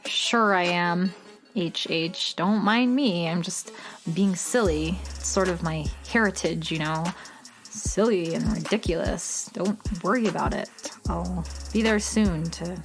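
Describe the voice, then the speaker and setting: Woman voicing a sarcastic proud young heroine, slow drawl, music under the lines. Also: lowish voice. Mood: sulky. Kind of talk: children's radio drama. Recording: music playing behind.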